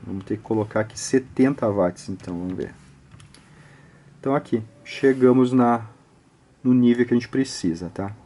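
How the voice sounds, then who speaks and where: A Brazilian Portuguese-speaking man with a slightly rough, husky voice at an ordinary male pitch. He explains in an informal, step-by-step tutorial style, conversational and punctuated by frequent little check-in tags. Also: normal speed, pauses often, dark tone. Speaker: audio electronics instructor, channel host.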